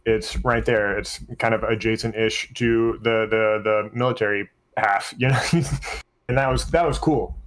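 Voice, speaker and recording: A man's voice: on the low side, fairly even intonation, moderate pace, fairly bright tone, low-quality recording. A man co-hosting a casual tabletop gaming discussion as a game master, telling stories in a rambling, freely swearing way. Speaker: podcast co-host and game master; works at a school.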